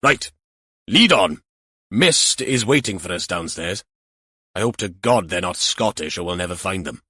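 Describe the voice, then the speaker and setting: A man's voice: low voice, animated, a little slow, pushed and bright, slightly rough, no echo, studio quality, exaggerated voice. A man voicing a jokey, irreverent male detective with a mock-formal, bantering manner in an audiobook. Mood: jokey, brisk.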